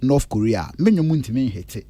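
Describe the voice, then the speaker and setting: Ghanaian man, radio panelist, lively swooping pitch, dry studio sound, emphatic. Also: a little fast, dry recording. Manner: emphatic, argumentative, switches languages. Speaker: radio entertainment show panelist. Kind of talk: animated radio panel discussion.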